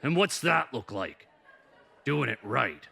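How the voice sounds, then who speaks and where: Gravelly, blustering old newspaperman played by a man. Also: mid-range voice, very animated, takes it slowly, bright, forceful tone, exaggerated voice. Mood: doubtful. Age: older. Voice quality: gravelly, raspy. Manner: blustering, sardonic, emphatic. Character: loud, cynical old newspaperman, blustering and sardonic. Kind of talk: comic dub voice acting.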